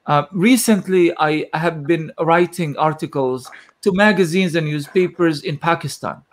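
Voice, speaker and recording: Earnest man from Gaza, slightly high voice. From Gaza, Palestine. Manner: earnest and measured, with frequent fillers and throat clearing. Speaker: guest speaker on a panel. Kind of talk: speech in an online panel discussion.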